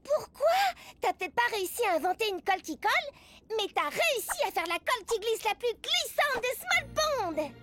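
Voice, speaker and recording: Very squeaky, eager little microbe kid. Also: big pitch swings, normal speed, pushed and bright, soft background music, full character voice. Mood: puzzled, eager. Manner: squeaky, exuberant, blurting. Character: small, bubbly, eager microbe schoolkid sidekick, impulsive and naive. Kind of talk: animated cartoon dubbing in French.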